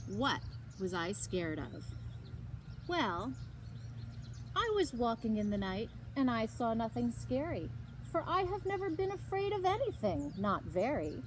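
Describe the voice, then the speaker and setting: A woman reading a children's story aloud expressively, with dramatic emphasis and drawn-out words, like a librarian at story time. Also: on the high side, big pitch swings, a little hiss or hum, poor audio.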